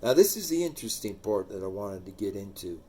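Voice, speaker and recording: A man's voice: lowish voice, very animated, brisk, dark tone, breathy edge. A man hosting a true crime channel, talking through a case and reading quotes aloud, his voice trailing off at line ends.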